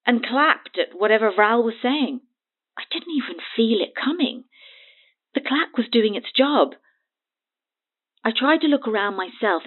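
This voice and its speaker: An actress playing a frightened, paranoid woman recounting a horror in halting speech over a phone in an audio drama. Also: on the high side. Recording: thin, phone-like band-limited sound.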